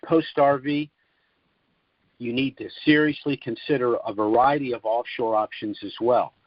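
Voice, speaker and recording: A man with a mid-range voice gives explanatory commentary as a call presenter. He speaks in a deliberate, formal manner, pausing and hesitating as he lays out his points. The audio is dry and somewhat dull, like a lo-fi conference line. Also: mid-range voice, fairly even intonation, no room sound.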